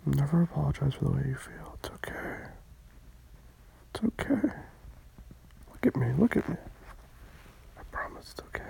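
A person playing a tender, protective romantic partner in an intimate comfort roleplay, speaking in hushed, soothing, breathy murmurs. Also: mid-range voice, lively intonation, moderate pace, pauses often, whispered, heavily acted, low-quality recording. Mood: tender reassurance.

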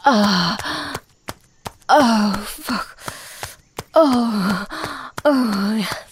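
A woman voicing a teasing, confident adult lover, speaking in a breathy, whispery way. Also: mid-range voice, slow, noisy background. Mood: passionate. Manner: breathy, whispery, moaning delivery. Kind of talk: scripted adult audio roleplay.

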